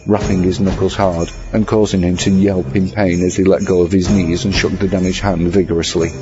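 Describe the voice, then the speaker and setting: A man reading a scripted horror story as narrator, in an ornate, measured storytelling manner. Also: sits low, flat delivery. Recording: slightly dull audio over music. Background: music.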